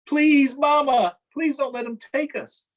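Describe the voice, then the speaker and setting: A man's voice: steady tone, unhurried, highs cut off. A man reading aloud, voicing a frightened enslaved little girl who begs her mother not to let them be taken away. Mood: pleading. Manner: high, desperate pleading.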